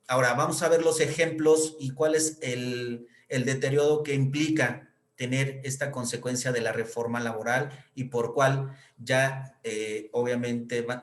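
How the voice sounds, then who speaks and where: Mexican man explaining in an echoey room. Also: mid-range voice. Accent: Mexican Spanish. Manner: explanatory, many fillers and tag questions. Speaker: accountant explaining pension reform. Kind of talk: explanatory lecture.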